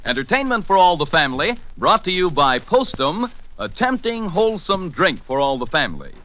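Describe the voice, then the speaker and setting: A man with an American accent doing scripted 1940s radio announcing and sponsor pitches. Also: very animated, moderate pace, sharp tone, poor audio.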